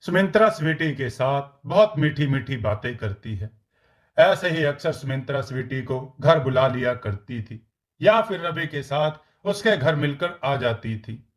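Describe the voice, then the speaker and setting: A man's voice: mid-range voice, animated, a little slow. A man reading scripted story narration as the narrator.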